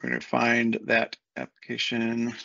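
A man in his thirties walking through a software setup on a screen share, talking casually step by step with fillers, recorded on a desk mic at home. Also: mid-range voice, completely flat delivery, a little slow, gravelly, dry recording. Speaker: software consultant. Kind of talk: screen-share tutorial walkthrough. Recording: dull lo-fi dry sound.

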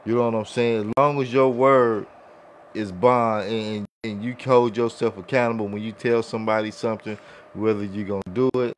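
A man's voice: on the low side, fairly even intonation, normal speed, slight background noise. An American man chatting casually on a podcast, earnest and rambling, often adding "you know". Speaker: podcast co-host. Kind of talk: casual chat. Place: small room set up as a podcast studio. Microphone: studio mic on boom arm.

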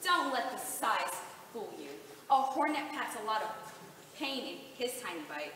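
Bright high voice, feisty protective little woman, acted by a woman, theatrical stage drama, echoing hall. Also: big pitch swings, normal speed. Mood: combative. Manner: scrappy, theatrical. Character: small, feisty, protective young woman, quick to fight. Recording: echoey hall, far from mic, faint noise.

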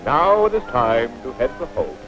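With music behind on a muffled old film soundtrack, a pompous storybook narrator. His pitch swoops wildly as he delivers florid, mock-heroic cartoon narration. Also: wildly swooping pitch, normal speed. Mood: grandly admiring. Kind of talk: scripted 1940s cartoon narration.

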